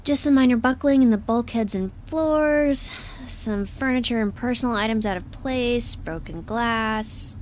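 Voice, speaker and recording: A woman's voice: a little high, unhurried, a little mellow, a little hiss or hum, close to an everyday voice. Wry, blunt spaceship crewwoman played by an actress. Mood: brisk, wry. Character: brisk, wry, blunt female spaceship crew member. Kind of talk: science fiction audio drama.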